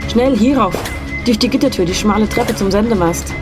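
A woman's voice: medium pitch, a little fast, loud music behind the voice, lightly acted. An actress playing a mysterious, insistent woman guide in a radio play, speaking with earnest urgency.

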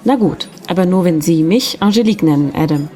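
Woman playing a friendly secretary, lowish voice. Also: lively intonation, fairly quick, mellow, natural voice. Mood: gently teasing. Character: friendly, gently teasing young female secretary, slightly mysterious. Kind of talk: German audio drama dialogue.

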